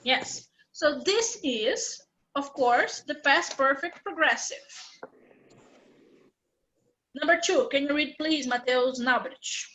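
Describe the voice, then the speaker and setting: A woman's voice: high-pitched, slow pace, pauses often, a bit bright, low-quality recording. A woman teaching an English grammar class online, explaining patiently step by step.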